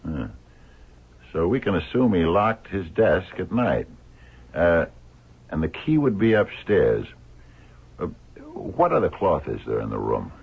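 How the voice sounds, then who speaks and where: An actor playing a shrewd, dogged blind investigator in a radio drama, gravelly and husky, probing with hesitant, murmured questions. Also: male voice, deep voice, very animated, unhurried, pauses often, slight background noise, full character voice. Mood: musing, intent. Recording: thin, phone-like old radio recording.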